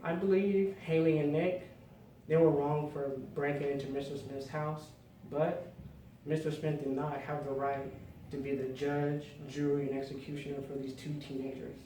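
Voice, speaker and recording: A man delivering a prepared storytelling speech, phrasing it deliberately and dramatically with pauses. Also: fairly high pitch, level tone, warm tone. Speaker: public speaker. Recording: roomy, distant mic, faint noise.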